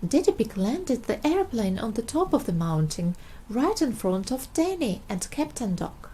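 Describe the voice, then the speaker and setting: A woman reading a children's storybook aloud in an expressive style. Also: on the high side, normal speed, slightly rough. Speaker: storybook reader.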